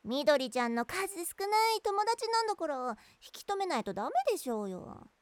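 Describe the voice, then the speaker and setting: 気さくな女子高生を女性が演じる, 飄々とした話し方, 高い声. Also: female voice, high-pitched, big pitch swings, a little fast, dry recording. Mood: easygoing. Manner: playful, teasing. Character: teasing, easygoing high school girl. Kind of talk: voice drama acting.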